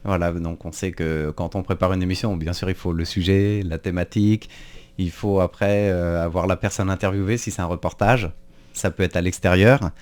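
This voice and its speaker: A man's voice: deep voice, fairly even intonation, moderate pace, darkish tone. A man presenting a radio show in a casual, conversational way.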